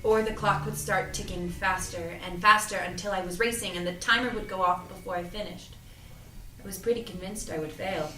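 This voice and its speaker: A woman's voice: mid-range voice, steady tone, a little fast, sharp tone, far from the mic, lightly acted. A woman playing an earnest, anxious young apprentice in an audio drama read-through, speaking naturally and conversationally. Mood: anxious, confiding. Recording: roomy, off-mic, music underneath.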